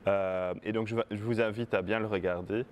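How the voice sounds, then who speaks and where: Un intervieweur à l'belge qui pose ses questions en hésitant, avec beaucoup de « euh ». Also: male voice, on the low side, fairly even intonation, darkish tone, slight background noise. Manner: halting, with many euh fillers.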